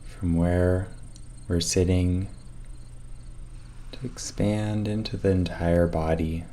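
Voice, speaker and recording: A man leading a guided meditation, giving calm, measured instructions with long pauses between them. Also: low-pitched, slight background noise.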